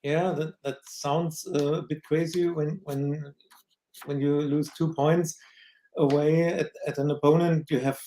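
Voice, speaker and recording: Flat-voiced man, hesitant regular guest. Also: a little high, a little slow, poor audio. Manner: hesitant, many "uh" and repeated words. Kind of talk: casual football chat.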